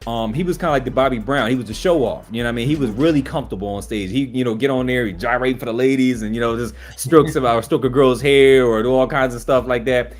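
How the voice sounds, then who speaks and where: A man who hosts a music show and sings R&B, with a smooth voice and a relaxed, storytelling way of talking. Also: medium pitch, fast talker, few pauses. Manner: relaxed storytelling, often says "you know what I mean". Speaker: R&B singer and host. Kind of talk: casual chat.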